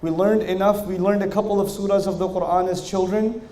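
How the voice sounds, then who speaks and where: High-voiced male lecturer in an echoey hall. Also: steady tone, brisk, dark tone. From Pakistani family, raised in Germany, Saudi Arabia and New York. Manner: conversational storytelling, rising emphasis, rhetorical questions. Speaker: Islamic lecturer. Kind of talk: religious lecture to an audience.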